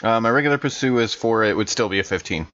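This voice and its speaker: Male tabletop player, fairly low voice, casual and tentative delivery, slightly muffled sound. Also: quick pace, a bit bright, no echo. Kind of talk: casual improvised tabletop game talk.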